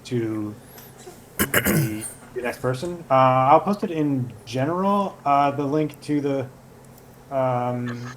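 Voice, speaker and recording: A man's voice: medium pitch, takes it slowly, slight background noise, poor audio. A man guesting on a podcast, explaining something in casual chat, hesitant and trailing off as he searches for words. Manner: hesitant, many fillers and restarts, trails off.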